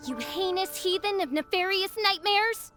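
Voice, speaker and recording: Casting: theatrical, haughty girl princess in a game, high voice, pompous declamation. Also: lively intonation, normal speed, bright, forceful tone, soft background music, full character voice. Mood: haughty indignant. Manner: grandiose, archaic, florid declamation. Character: haughty theatrical young self-styled princess of doom.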